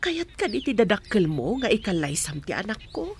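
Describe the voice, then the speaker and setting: A woman playing a gentle, cautious mother. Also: mid-range voice, sing-song, moderate pace, vocal fry, a little hiss or hum. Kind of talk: amateur radio drama acting.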